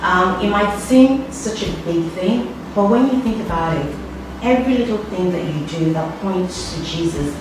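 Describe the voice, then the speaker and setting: A woman's voice: on the low side, animated, normal speed. A woman from Nigeria, a church ministry team leader, talks earnestly and encouragingly. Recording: echoey room from a distance, noisy.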